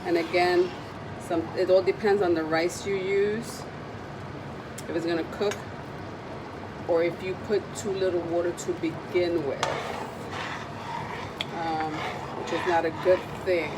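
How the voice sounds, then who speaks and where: A woman with a raspy voice from a lingering cold, chatting casually as she demonstrates a recipe in her home kitchen. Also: lowish voice, steady tone, a little slow, pauses often, noisy background. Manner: chatty, meandering, with frequent ums. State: recovering from a cold. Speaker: cooking video host.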